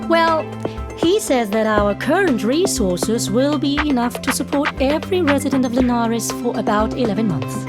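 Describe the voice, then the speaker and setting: Loud music plays under an actress as a female news presenter. She is polished and chipper, with a fairly high voice, in a scripted audio drama broadcast. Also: animated, normal speed, close to an everyday voice. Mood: polished, upbeat.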